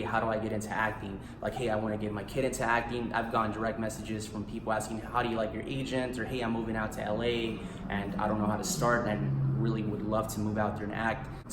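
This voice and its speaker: A man's voice: lowish voice, background noise. A man in his thirties, an actor and author whose first language was Spanish, talking in a casual, eager vlog style with plenty of "like" and "you know.". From United States. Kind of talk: casual self-promo vlog. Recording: roomy echo in a small room.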